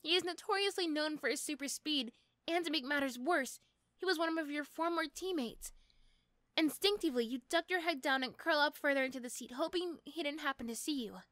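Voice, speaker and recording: A woman's voice: high voice, fairly quick, fairly bright tone, no echo, clean studio sound. A woman narrating a scripted second-person roleplay story.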